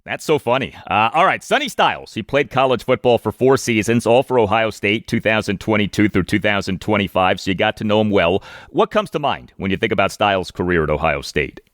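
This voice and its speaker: An American man hosting a sports interview, putting prepared questions in a deliberate, formal way. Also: on the low side, lively intonation, fairly bright tone, no echo, clean studio sound. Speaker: sports podcast host, interviewer.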